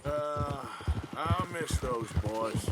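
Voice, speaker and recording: Gruff, weary American Western gunslinger, terse drawl, fairly high pitch, loud horse noises behind. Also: male voice, drawn-out words, very noisy. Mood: wistful. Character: gruff, weary, laconic male Old West outlaw cowboy. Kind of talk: naturalistic video game cutscene acting. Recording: loud horse and outdoor game effects.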